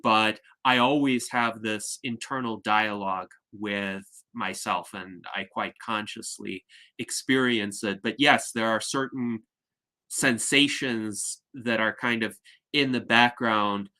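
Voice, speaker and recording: Male host, lowish voice with a crisp bright edge, measured and articulate, video call.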